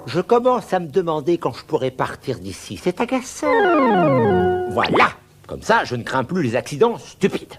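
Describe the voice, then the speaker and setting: A man voicing a proud, touchy, excitable cartoon schemer in a theatrical manner, swinging from muttering to exclaiming. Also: fairly high pitch, moderate pace, over loud music. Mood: irritated, muttering. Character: proud, touchy, excitable male schemer dreaming of a better life. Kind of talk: French animated cartoon dubbing.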